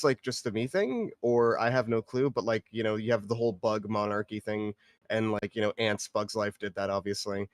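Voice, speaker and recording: A man's voice: medium pitch, dry recording, studio quality. A man giving casual feedback in a hesitant, hedging, rambling way. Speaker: participant giving script feedback. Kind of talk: casual spoken critique.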